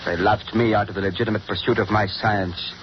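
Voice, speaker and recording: A man playing a bitter, cold mad scientist in a 1930s radio drama, measured and formal, on a muffled old broadcast with hiss. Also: lowish voice, steady tone, close to an everyday voice. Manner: measured, coldly formal and menacing. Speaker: villain.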